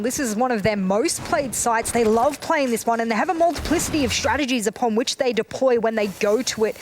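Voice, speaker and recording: A woman giving analytical color commentary on an esports match, explaining the teams' tactics in a measured, methodical way. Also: on the high side, a little hiss or hum.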